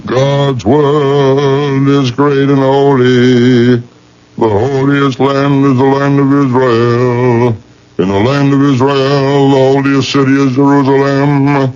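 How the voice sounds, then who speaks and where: Actor as a slow, solemn wise rabbi. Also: male voice, medium pitch, level tone, darkish tone, lightly acted. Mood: solemn, incantatory. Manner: measured, incantatory. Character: solemn, gentle wise man, rabbi exorcist. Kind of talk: radio drama acting. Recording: dull old radio broadcast.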